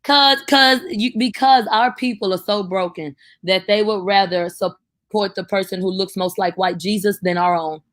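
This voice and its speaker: A woman's voice: medium pitch, steady tone, normal speed, a bit bright, dry recording, studio quality. A woman co-hosting a call-in talk show, speaking bluntly and casually, calling callers baby. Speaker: co-host.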